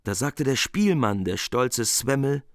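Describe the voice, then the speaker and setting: A man narrating a medieval epic in a measured, grave manner. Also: medium pitch, animated, no echo, studio quality.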